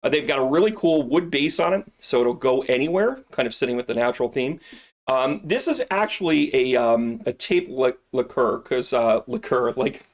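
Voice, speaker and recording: A man's voice: mid-range voice, expressive, moderate pace. A middle-aged man hosting a live stream from his shop, showing off products in a casual, joking, rambling way. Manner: joking, rambling, salesy asides.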